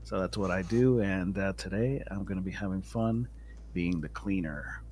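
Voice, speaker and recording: A man's voice: deep voice, normal speed, darkish tone, a little hiss or hum. A man chatting casually as a guest player joining a game stream over the internet. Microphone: remote internet call.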